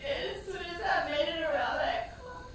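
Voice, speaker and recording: A woman's voice: very high voice, lively intonation, fairly quick, far from the mic. An actress playing a grieving, devout woman in an emotional, impassioned dramatic stage monologue. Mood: anguished. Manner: emotional, impassioned delivery, swinging from hushed to crying out. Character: grieving, pleading, devout woman recounting a shooting. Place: theatre stage, distant microphone. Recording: echoey stage recording from afar, faint noise.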